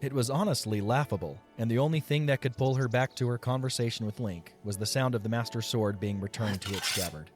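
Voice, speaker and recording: A man's voice: medium pitch, brisk, few pauses. A man reading scripted story narration for a fantasy audio drama. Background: soft music.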